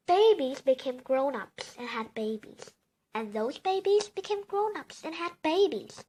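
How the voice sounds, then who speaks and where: A female reader with a very high voice reads a children's storybook aloud. She takes it slowly, phrase by phrase, as bedtime story narration. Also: lively intonation, darkish tone, low-quality recording.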